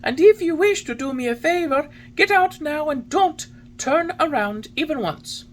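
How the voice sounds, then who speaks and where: A woman's voice: sits high, lively intonation, normal speed, slight background noise. A woman voicing an impudent wooden boy marionette with cheeky, defiant retorts.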